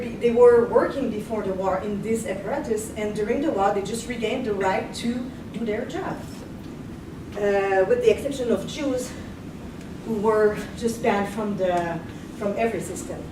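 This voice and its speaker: Young woman lecturing in an echoey room. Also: mid-range voice. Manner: measured, earnest, stops to rephrase. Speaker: guest lecturer. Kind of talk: history lecture, partly read from notes. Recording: echoey room, far mic, background noise.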